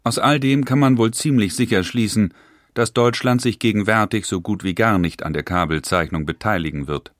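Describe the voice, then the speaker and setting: Man reading careful worried older brother letters, low voice, dry studio sound. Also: moderate pace, no echo, lightly acted. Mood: measured. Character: cautious older German industrialist brother writing letters. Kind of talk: reading historical letters in a radio feature.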